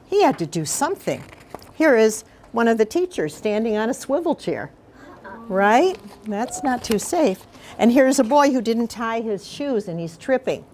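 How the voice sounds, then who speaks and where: A woman reading a picture book aloud to schoolchildren, pausing to explain things and ask them questions. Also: fairly high pitch, very animated, a little mellow, a little hiss or hum. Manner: explanatory, pauses to question the children.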